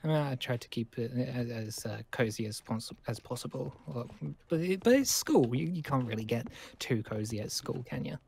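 A man's voice: mid-range voice, animated, normal speed, creaky, few pauses, a little mellow. A man playing a polite, awkward school survivor in a game roleplay, stammering and apologetic as he rambles. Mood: hesitant.

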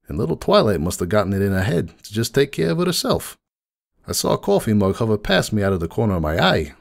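A man's voice: lowish voice, vocal fry, full character voice. A man voicing a world-weary middle-aged pony who runs a coffee shop, telling his story in a folksy, wistful, confiding way as a dramatic monologue. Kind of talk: dramatic monologue reading of fan fiction. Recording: music behind the voice.

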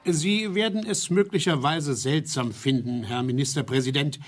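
An actor playing an insistent business lobbyist in a German radio drama, formal, diplomatic and insinuating. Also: male voice, on the high side, normal speed, faint music behind, natural voice. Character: smooth-talking, insistent business lobbyist pressuring government.

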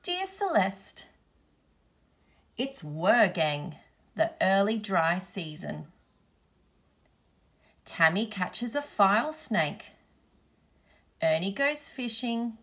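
Female storybook reader, unhurried and deliberate, mid-range pitch, for young listeners. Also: sing-song, a bit bright. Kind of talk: children's picture book read-aloud.

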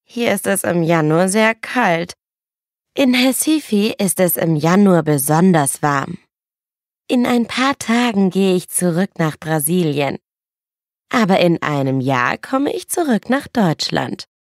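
A woman voicing a young Brazilian woman whose first language is Portuguese, reading a scripted textbook dialogue slowly and clearly. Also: fairly low pitch, animated, dry recording, studio quality. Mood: plain. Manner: slow, careful, clear delivery. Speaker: character in a language-learning dialogue.

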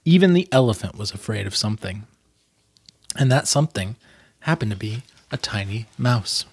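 A man's voice: fairly low pitch, expressive, moderate pace, husky, no room sound. A man in his thirties reading a children's book aloud expressively, with casual, chatty asides, close to a desktop microphone. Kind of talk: storybook reading with casual commentary. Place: small plain room indoors.

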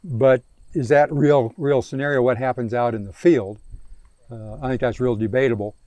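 A man's voice: medium pitch, fairly even intonation, moderate pace, darkish tone, dry recording. A man answering a crowd's questions at an outdoor field day, talking with many restarts and fillers into a handheld mic on open prairie. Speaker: range scientist answering questions. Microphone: handheld microphone.

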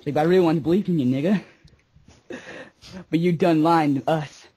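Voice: medium pitch, moderate pace, warm tone, breathy edge, no room sound, close to an everyday voice. An amateur fandubber voicing a betrayed, accusing young male soldier in slangy, profane street talk for a comic parody. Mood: hurt, resentful. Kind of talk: amateur comedic fandub, improvised over a Skype call.

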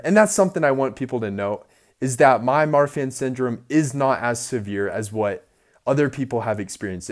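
Young man, nasal, unhurried delivery, earnest upbeat vlogger speaking into an earphone mic in a small room. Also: medium pitch. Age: young adult. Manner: upbeat, earnest, talks to camera. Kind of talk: casual self-improvement vlog. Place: small indoor room by a door. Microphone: earphone headset mic.

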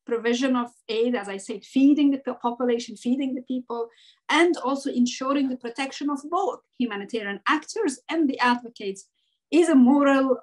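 Woman from Afghanistan, forties, panel guest, earnest and halting, fairly high voice, video call. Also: rough recording. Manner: earnest, hesitant with fillers and restarts. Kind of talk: online panel discussion. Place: home room with bookshelves.

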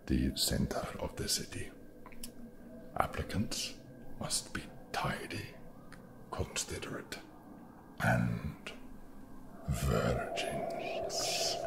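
A man voicing a vampire in a whispered, breathy ASMR comedy roleplay, theatrical and deadpan as he tries to seem cool. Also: very deep voice, pitched below the performer's own voice, heavily acted. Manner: slow, theatrical, deadpan comic. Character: male vampire advertising for a housemate, eagerly trying to seem cool.

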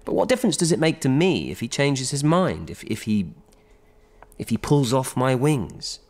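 An actor playing a devoted, earnest film director's assistant in a radio drama, reflective and halting. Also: male voice, mid-range voice, very animated, a little mellow. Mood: earnest devotion. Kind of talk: naturalistic radio drama monologue and dialogue.

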